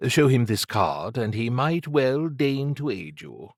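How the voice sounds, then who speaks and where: A man voicing an urbane, condescending, androgynous occult agent for an audiobook, in a languid purr with drawn-out phrasing. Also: medium pitch, very animated, gravelly, no room sound, clean studio sound, voice pushed up, heavily acted. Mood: smug, advising. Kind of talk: audiobook character acting.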